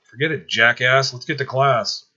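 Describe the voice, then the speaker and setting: A man's voice: mid-range voice, moderate pace, bright, forceful tone, lo-fi sound. A man voicing a blunt, hot-headed, cocky teenage boy in a story read aloud. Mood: annoyed.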